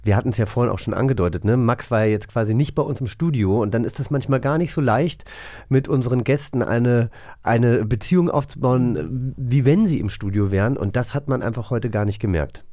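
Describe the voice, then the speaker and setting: A man's voice: mid-range voice, a little fast, mellow, no echo. A man co-hosting a podcast, chatting casually in a studio. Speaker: podcast co-host. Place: dry studio.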